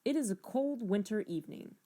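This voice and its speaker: A woman's voice: mid-range voice, animated, a little fast, dark tone. A woman reading scripted second-person story narration in a mock hard-boiled style, with wry asides.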